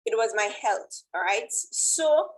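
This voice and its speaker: A woman's voice: on the high side, animated, slow, poor audio. A woman with a Trinidadian accent giving an informal motivational presentation as a fitness coach, often checking in with "right?".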